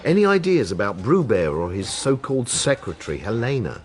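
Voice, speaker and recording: A man's voice: fairly low pitch, wildly swooping pitch, fairly quick, darkish tone. A British man playing a weary, disillusioned police detective in a radio drama, grumbling in plain-spoken style. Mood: resigned, appeasing. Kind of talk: naturalistic radio drama acting.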